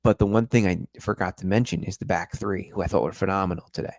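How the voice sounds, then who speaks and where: A man's voice: low voice, steady tone, fast, creaky, darkish tone, dry recording, clean studio sound. A man hosting a live-stream football review, talking viewers through the match in a chatty, analytical way. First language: English. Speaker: football podcast host.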